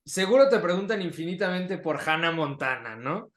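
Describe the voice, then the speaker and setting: A man's voice: a little high, animated, normal speed, fairly bright tone. A man hosting a casual interview in a conversational style. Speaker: interview host. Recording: roomy, echoing sound.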